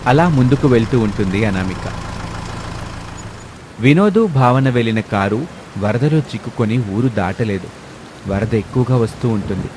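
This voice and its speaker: A man reads a scripted story as its narrator. His pitch is middling for a male voice. Clear rain and engine noise plays behind the narration. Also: expressive, normal speed, long pauses.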